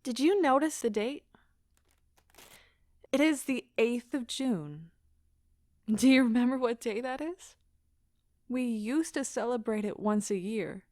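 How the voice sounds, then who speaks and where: An actress playing an anguished, remorseful but defiant wife in an audio drama, speaking in an impassioned, deliberate, theatrical way. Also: female voice, a little high, animated, darkish tone, no echo, studio quality. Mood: cold, confessional. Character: anguished wife confessing to her husband, remorseful, wounded, bitterly defiant.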